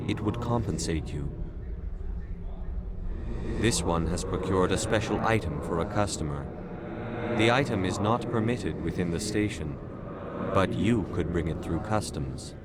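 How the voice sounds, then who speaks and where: Video game alien merchant: discreet, courteous, ceremonious phrasing, lowish pitch. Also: male voice, expressive, heavy background noise. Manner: formal, measured, speaks of self in third person. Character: polite, discreet alien merchant who speaks self-effacingly.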